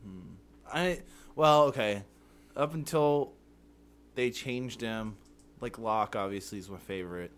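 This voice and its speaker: A man's voice: on the low side, slow pace, long pauses, fairly bright tone, clear, resonant tone. A man co-hosting a podcast in casual chat, joking crudely and often asking "you know what I mean?". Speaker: podcast co-host.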